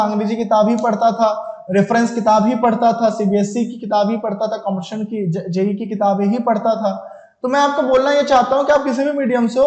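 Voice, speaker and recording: A very high-voiced young man speaks in an echoey room. He teaches like an online exam tutor, informal and instructive, often repeating a phrase to drive it home. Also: darkish tone. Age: 20s.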